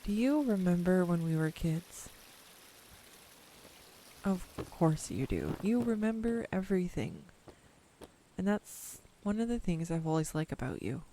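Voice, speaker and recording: A woman's voice: fairly low pitch, lively intonation, mellow, slight background noise. A young woman acting a tender, nervous childhood friend come home, in an intimate, hesitant audio roleplay monologue. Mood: fond, nostalgic. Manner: hesitant, reflective, confiding, with pauses. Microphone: close USB desktop microphone.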